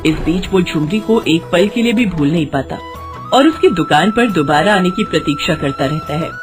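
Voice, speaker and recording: A woman narrating a scripted story in an expressive storytelling style. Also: lowish voice, moderate pace. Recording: music behind the voice.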